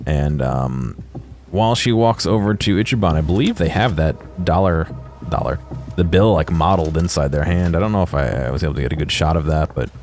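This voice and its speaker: A man giving casual, unscripted video game commentary in a halting way, as if thinking aloud. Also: rumbling low, a little mellow. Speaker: host of a game exploration video. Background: music behind the voice.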